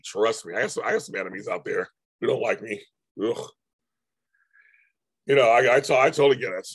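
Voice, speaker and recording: Hoarse, rambling man chatting live. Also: medium pitch. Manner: rambling, repeats himself, many restarts. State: hoarse, voice going out. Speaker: livestream host. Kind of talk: casual live-stream chat.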